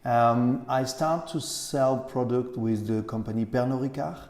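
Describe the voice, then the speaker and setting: Echoey, gravelly middle-aged Frenchman in conversation. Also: medium pitch, fairly even intonation, darkish tone. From Grenoble, France. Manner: halting, searching for words in non-native English. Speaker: interview guest, cheese shop owner. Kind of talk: casual conversational interview. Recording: echoey room with long reverb.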